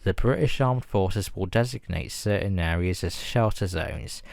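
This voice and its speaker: A man reading a scripted emergency broadcast announcement in a formal, measured and grave manner. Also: low voice, vocal fry, darkish tone, dry recording, clean studio sound.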